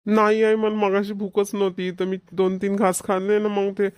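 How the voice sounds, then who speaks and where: A woman's voice: mid-range voice, little pitch movement, normal speed, a little mellow, smooth, dry recording, lightly acted. Bossy cartoon schoolboy, dry and close woman acting. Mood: whiny. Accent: Maharashtrian, rural Vidarbha dialect. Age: child character, about eleven. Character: bossy schoolboy older brother, sixth grader. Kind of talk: Marathi cartoon comedy dialogue.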